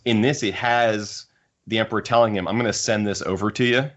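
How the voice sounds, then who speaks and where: A man's voice: fairly low pitch, steady tone, fairly quick, a bit bright. A man hosting a podcast, casually discussing a book and thinking aloud as he rambles. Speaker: podcast host. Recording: dull lo-fi podcast audio.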